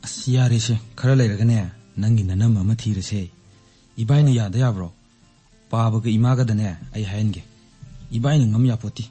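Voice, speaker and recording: A man's voice: on the low side, rapid-fire, mellow, husky, soft background music. A man acting in a radio drama as a protective, practical relative who soothes and urges in a conversational way. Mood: casual.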